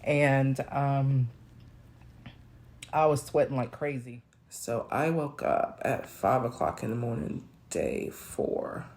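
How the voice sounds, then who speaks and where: A woman with a naturally deep voice, hoarse while ill, telling a casual, rambling personal story and correcting herself as she goes. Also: slow pace, darkish tone. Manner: rambling, self-correcting, conversational. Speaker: vlogger.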